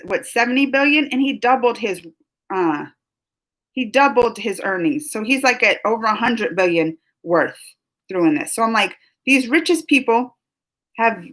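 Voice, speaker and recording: A woman's voice: on the high side, fairly bright tone. Emotional rambling woman streamer. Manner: emotional, rambling, many restarts and fillers. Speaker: livestream host. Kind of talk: casual live stream politics chat.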